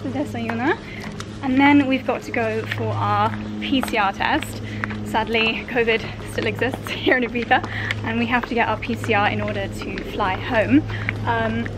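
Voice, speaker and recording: High-voiced chatty young woman vlogger. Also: background noise. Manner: chatty, upbeat, calls viewers darling. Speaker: travel vlogger. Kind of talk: casual vlog narration. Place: outdoors at a resort and beach club. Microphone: camera held at arm's length. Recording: loud music and crowd in club.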